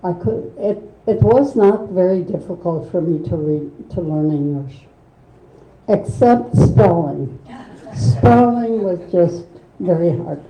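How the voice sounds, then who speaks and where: An older woman whose first language is Low German, speaking slowly and earnestly as the guest author at a bookstore event. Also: reverberant, poor audio. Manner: slow, earnest, soft-spoken. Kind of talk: reflective conversation at a book event.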